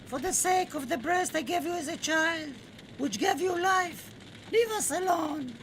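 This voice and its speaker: A man's voice: measured pace, gravelly, a bit bright, heavily acted. A man voicing a pleading elderly Spanish mother in a radio play, imploring and strained, on a noisy recording. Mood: desperate, pleading. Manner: imploring, high strained delivery.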